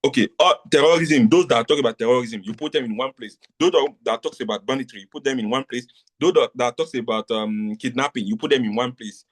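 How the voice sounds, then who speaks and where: A middle-aged man from Nigeria teaches a class in a plain, mid-pitched voice. He repeats his points and keeps asking whether his listeners follow. His delivery is a patient live lecture. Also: fast talker. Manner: repetitive, checks understanding often. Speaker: tutor.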